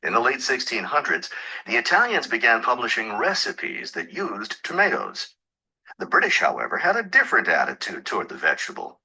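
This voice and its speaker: A man's voice: mid-range voice, huge pitch swings, a little fast, vocal fry, sharp tone, full character voice, low-quality recording. A man playing an informative lecturer, reading a scripted academic talk in an instructive, emphatic way for a listening test. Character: informative lecturer explaining food history.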